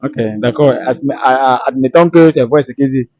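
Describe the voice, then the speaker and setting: A man hosting an unscripted street interview, speaking in halting, repetitive phrases. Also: medium pitch, fairly even intonation, darkish tone, dull audio.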